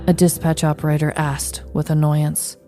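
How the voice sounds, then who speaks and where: Lower-pitched woman podcast host. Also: flat delivery, moderate pace, mellow, soft background music. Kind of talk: scripted storytelling narration, then casual chat.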